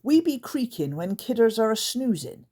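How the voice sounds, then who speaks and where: A woman's voice: on the high side, sing-song, normal speed, warm tone, pitched above the performer's own voice. A woman voicing Grunt, a grumpy, bossy male night creature who barks his words in broken grammar. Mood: gruff.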